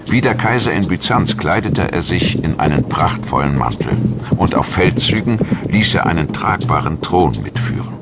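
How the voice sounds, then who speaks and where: A man reading a scripted history documentary narration in a measured, articulate storytelling manner. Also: deep voice, expressive. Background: music.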